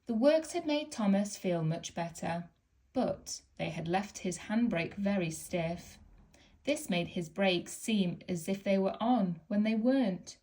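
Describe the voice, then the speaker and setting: A woman reading a children's picture book aloud in a gentle, expressive storytelling manner. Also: medium pitch, a little slow.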